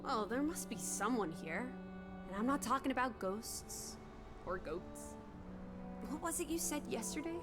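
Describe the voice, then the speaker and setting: A woman's voice: a little high, lively intonation, measured pace. An actress playing a playful young 1920s American woman in a video game, teasing in jaunty old-fashioned slang. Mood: cheeky. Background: music.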